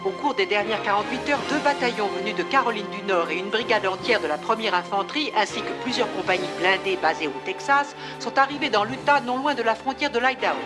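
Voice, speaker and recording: A woman's voice: medium pitch, animated, loud music behind the voice, natural voice. A woman playing a television news anchor, reading the news formally. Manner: formal, measured.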